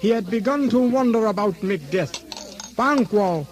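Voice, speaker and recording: A man's voice: high voice, lively intonation, a little slow, faint music behind. A man playing the narrator of a mock tragedy on a radio play, telling it with mock solemnity. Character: storybook-style male narrator of a mock tragedy. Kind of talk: scripted radio drama narration. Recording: noisy old radio recording.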